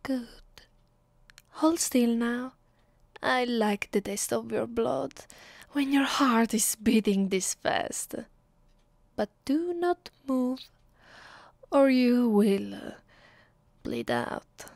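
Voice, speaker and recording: A woman with an Italian accent voicing a cruel, bored, centuries-old vampire mistress in an ASMR roleplay, deliberate and condescending. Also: a little high, creaky, a little mellow, no room sound, heavily acted. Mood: predatory pleasure. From Italy. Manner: slow, deliberate, menacing, condescending.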